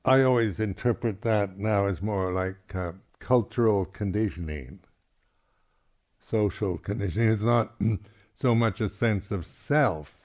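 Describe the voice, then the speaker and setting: A man, a Buddhist monk teacher, giving an unscripted, reflective talk at a meditation retreat, halting and often clearing his throat. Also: on the low side, a little mellow.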